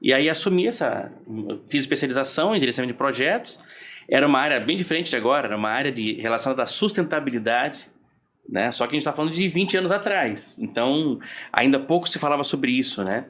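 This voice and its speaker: A man in his forties from southern Brazil, a guest on a podcast, telling his story in a relaxed, rambling way with laughs and restarts. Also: medium pitch, quick pace. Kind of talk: casual storytelling in conversation.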